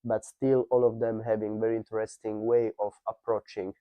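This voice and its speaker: A man's voice: fairly low pitch, little pitch movement, dry recording, muffled recording. A man whose first language is Italian, talking through a project in a business podcast interview with fillers and restarts. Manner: measured, thoughtful, with frequent fillers and restarts.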